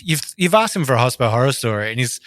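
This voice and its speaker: Bright, forceful Australian man. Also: medium pitch, sing-song, fast, no room sound, clean studio sound. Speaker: podcast co-host. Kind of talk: casual, joking podcast chat.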